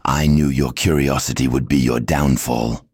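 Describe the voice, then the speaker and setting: A man as a very deep, menacing villain. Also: fairly even intonation, moderate pace, darkish tone, breathy edge, no echo, clean studio sound. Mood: gloating menace. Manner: taunting. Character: gloating, menacing male sword-wielding villain. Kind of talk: video game villain voice acting.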